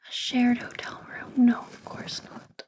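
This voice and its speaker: A woman playing a possessive, flirtatious boss in a soft-spoken ASMR roleplay, whispery and breathy, with an intimate, coaxing, teasing manner. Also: measured pace, lightly acted. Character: possessive, obsessed, flirtatious female boss doting on employee.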